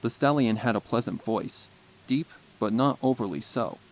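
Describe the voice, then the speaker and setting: A man's voice: medium pitch, moderate pace, rough recording. A man reading scripted first-person fan fiction as a story narrator.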